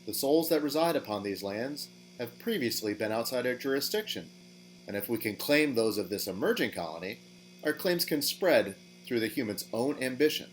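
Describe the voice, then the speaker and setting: A man's voice: mid-range voice, lively intonation, normal speed, lightly acted, rough recording. A man playing an ambitious, businesslike demon who speaks formally, like a boardroom presenter, in an audio drama. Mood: eager briefing. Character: businesslike ambitious male demon addressing an infernal council. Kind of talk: podcast audio drama acting. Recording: amateur recording with faint hum.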